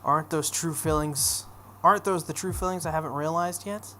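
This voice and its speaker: A man's voice: fairly high pitch, normal speed, bright, forceful tone. A man reading a visual novel aloud as narration, stumbling over the text now and then and dropping into casual asides. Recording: noticeable background noise.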